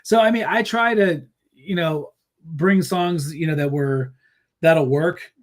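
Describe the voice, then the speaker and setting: Rambling, animated man telling band stories. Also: a little high, slow. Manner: rambling, many fillers and restarts; laughs easily, animated storytelling. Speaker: musician guest. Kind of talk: casual interview chat.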